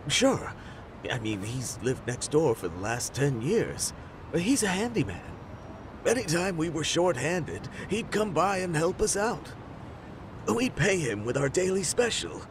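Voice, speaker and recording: An actor playing a friendly, easygoing neighborhood eatery worker in a video game dub, chatting freely. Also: male voice, fairly high pitch, very animated, a little slow, noisy background. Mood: helpful, chatty.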